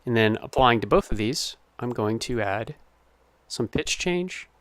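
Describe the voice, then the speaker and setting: A man's voice: medium pitch, lively intonation, a little slow, crowd noise. A man giving an informal tutorial as a sound designer, explaining his process step by step. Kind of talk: informal screen-recorded tutorial narration.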